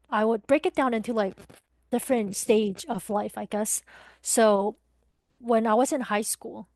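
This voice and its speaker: A woman's voice: fairly high pitch, darkish tone, no echo. A woman in her twenties from Taiwan, a guest talking thoughtfully with some hesitation about growing up. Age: 20s. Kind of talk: reflective interview conversation.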